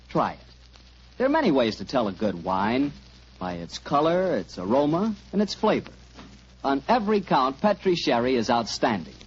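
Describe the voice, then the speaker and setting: An American man works as a radio announcer in classic 1940s style. His husky voice swoops emphatically as he sells and hosts, on a dull, old recording. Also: medium pitch. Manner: emphatic, salesmanlike.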